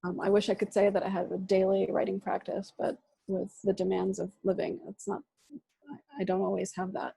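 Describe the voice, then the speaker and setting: A woman poet whose first language is Russian, answering questions thoughtfully over a video call and pausing often. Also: medium pitch, monotone, creaky, dark tone, husky, no echo, rough recording. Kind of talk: reflective answers in an online reading Q&A.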